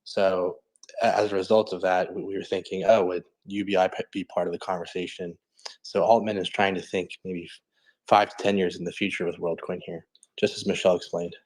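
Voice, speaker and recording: A man with a deep voice explains things conversationally as a panelist. He is hesitant and halting, feeling his way through his sentences. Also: flat delivery, poor audio.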